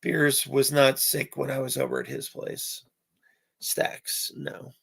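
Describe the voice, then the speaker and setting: A man with a hoarse voice chatting casually with viewers on a live stream, rambling with many filler pauses. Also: medium pitch, flat delivery.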